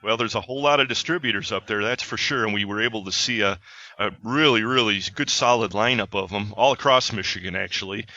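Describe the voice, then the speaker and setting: A male podcast host talks in a chatty, upbeat way, slipping in plugs. His voice is very bright and forceful, pitched a little low. The sound is dull and lo-fi. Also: quick pace, dry recording. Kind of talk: casual chat with plugs.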